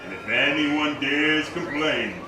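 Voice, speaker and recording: A man's voice: on the high side, lively intonation, takes it slowly. An actor playing an irritable, streetwise carnival barker with surly, slangy tough-guy talk in an old-style radio drama. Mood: bitter. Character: irritable, nasty male carnival barker, gruff streetwise crook. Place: theater stage, live performance. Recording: echoey theater, distant mic, noisy.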